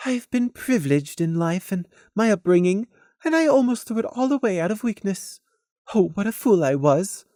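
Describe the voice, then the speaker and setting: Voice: mid-range voice, sing-song, normal speed, dark tone, dry recording, clean studio sound, voice pushed up, close to an everyday voice. A narrator voicing a refined young mare, a model who speaks with earnest, theatrical self-reproach and resolve. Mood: rueful.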